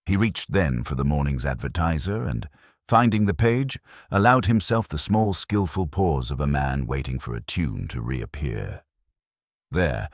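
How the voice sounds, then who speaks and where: A man reading scripted mystery fiction as narrator, in a measured, wry, literary manner. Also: very deep voice, no echo, clean studio sound.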